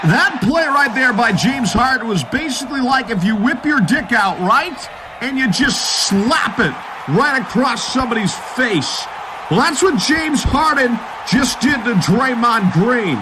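Man, very high pitch, mock sports commentary, profane, bombastic announcer style, noisy recording, basketball court sounds behind. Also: sing-song, slow. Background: basketball game ambience with bouncing ball.

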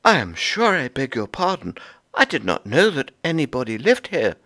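A man's voice: medium pitch, wildly swooping pitch, normal speed, pushed and bright, voice pushed up, full character voice. A man voicing a proper, polite female squirrel wife in a storybook reading, with a genteel, prim, raised voice.

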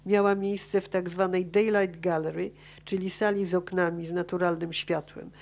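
Low-voiced woman reading a conference paper. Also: steady tone, normal speed, gravelly, darkish tone. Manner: reads from a script, stumbling over words. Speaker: conference speaker. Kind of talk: academic paper read aloud. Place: conference auditorium. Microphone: gooseneck table microphone.

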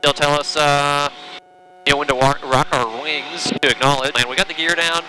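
A man's voice: fairly high pitch, steady tone, moderate pace. A man instructing as a pilot on a headset in a small plane, chatting casually in an easygoing, reassuring way. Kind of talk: casual cockpit chat and coaching. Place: small airplane cockpit in flight. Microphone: aviation headset. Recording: noisy cockpit headset audio.

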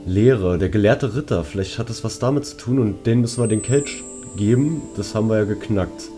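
A man with a low, very mellow voice talks casually through a game he is playing. He thinks out loud over puzzles, with music behind him. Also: a little fast. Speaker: let's player. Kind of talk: casual gameplay commentary.

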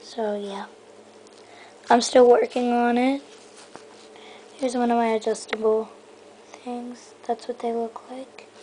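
A woman's voice: on the high side, little pitch movement, takes it slowly, long pauses, warm tone, a little hiss or hum, poor audio. Chatty young girl touring her toy stable. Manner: rambling, lots of um and so yeah. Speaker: vlogger giving a toy stable tour. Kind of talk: casual show-and-tell. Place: indoor bedroom floor. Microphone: handheld camera mic.

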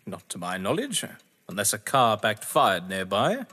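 Echoing hall, male actor as haughty English gentleman, lively intonation, florid phrasing, pompous, audio drama. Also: lowish voice, a little slow, slightly rough, exaggerated voice. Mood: dismissive, wary. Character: haughty, well-to-do English gentleman, breezy and evasive. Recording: reverberant hall with music behind.

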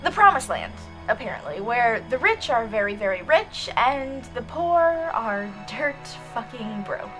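High-voiced, wry woman as teenage girl thief. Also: big pitch swings, measured pace, few pauses, a bit bright, rough recording. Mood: wry, sardonic. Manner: wry, sarcastic. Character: sardonic 13-year-old girl pickpocket stowaway. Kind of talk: radio drama narration and acting. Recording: music behind the voice.

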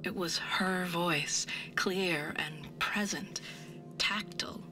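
A woman's voice: lowish voice, slow pace, whispered, poor audio. A woman playing an exhausted, grieving scientist in her late forties, confiding a journal entry in an audio drama. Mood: weary grief. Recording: music behind the voice.

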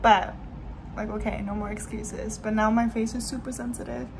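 A woman's voice: a little high, little pitch movement, moderate pace, creaky. A woman in her twenties chatting casually to her phone camera in a small apartment, rambling with lots of fillers. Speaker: vlogger. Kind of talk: casual vlog chat to camera. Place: small apartment room, indoors. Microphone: phone camera held at arm's length. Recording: noisy room with air conditioner hum. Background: air conditioner running.

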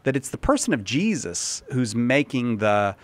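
A man's voice: mid-range voice, very animated, moderate pace, no echo. Hesitant middle-aged male radio host. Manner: searching, hesitant, with restarts. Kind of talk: interview questions. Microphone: headphones and studio mic.

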